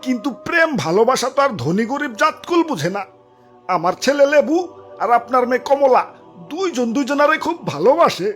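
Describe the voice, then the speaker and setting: A humble, proud lemon father from a cartoon, with an extremely high male voice. He talks with melodramatic dignity in a Bangladeshi accent, over faint music. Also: sing-song, fairly quick, fairly bright tone, full character voice. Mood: humble, earnest. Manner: earnest, melodramatic. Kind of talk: comic cartoon acting.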